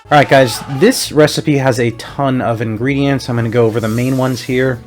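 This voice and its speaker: A man's voice: mid-range voice, fairly even intonation, moderate pace, over music, a crowd in the background. A young man from Puerto Rico hosting a casual cooking vlog, walking through a recipe in a chatty, upbeat way. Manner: upbeat, chatty, step-by-step instructions.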